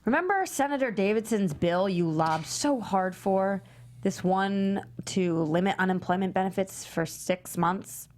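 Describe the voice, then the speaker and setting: A woman's voice: mid-range voice, lively intonation, a little slow, darkish tone, close to an everyday voice. Woman reading pushy interviewer, sardonic, probing, table read. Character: pushy female documentary interviewer Jennifer.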